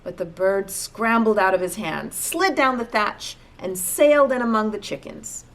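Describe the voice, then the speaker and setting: A woman reading a children's storybook aloud as an expressive narrator. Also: mid-range voice, moderate pace, low-quality recording. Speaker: storybook reader.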